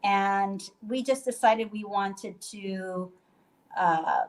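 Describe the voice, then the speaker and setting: A woman's voice: medium pitch, drawn-out words. Chatty woman on a video call. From Puerto Rico. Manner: chatty, loose, full of fillers and restarts. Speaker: guest, hostel owner. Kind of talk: casual video call interview. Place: bedroom in a guesthouse.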